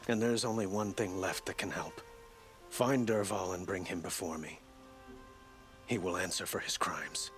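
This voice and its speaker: A dignified ruler in a video game drama. He speaks formally and courteously in a fairly low, grave voice, with soft music underneath. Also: moderate pace. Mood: grieving resolve. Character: dignified, grave young king, courteous and grieving.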